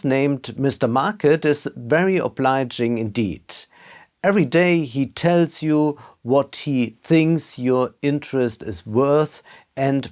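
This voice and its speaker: A man presenting a scripted video essay as a film critic, with measured, careful phrasing. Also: mid-range voice, darkish tone.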